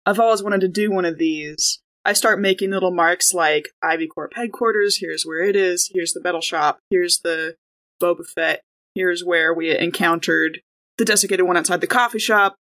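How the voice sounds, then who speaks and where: A woman chatting casually and describing her character's moves as a player in a tabletop game, quick to banter. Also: lowish voice, a bit bright, rough recording. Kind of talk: casual chat and in-game narration.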